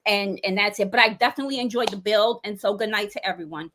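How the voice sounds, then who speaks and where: A woman giving thoughtful closing remarks in a discussion, speaking in a measured way with frequent pauses. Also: medium pitch, fairly bright tone, slight background noise. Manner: measured, thoughtful, many ums. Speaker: discussion participant.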